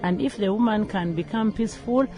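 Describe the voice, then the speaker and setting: A woman's voice: mid-range voice, fairly quick, mellow, faint music behind. Ugandan woman trainer answering formally. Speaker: management trainer and lecturer. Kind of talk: formal radio interview answers.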